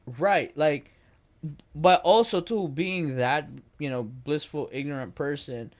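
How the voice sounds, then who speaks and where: Halting man with a raised voice. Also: fairly high pitch. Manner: halting, with frequent restarts and fillers. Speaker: podcast co-host. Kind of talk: casual chat.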